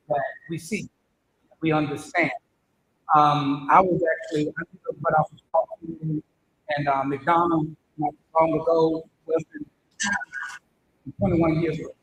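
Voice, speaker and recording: A man's voice: vocal fry, a bit bright. An older man welcoming people to his church and leading an earnest prayer, often repeating his words. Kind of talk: welcome address and spoken prayer. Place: church hall. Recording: echoey church hall, faint rumble.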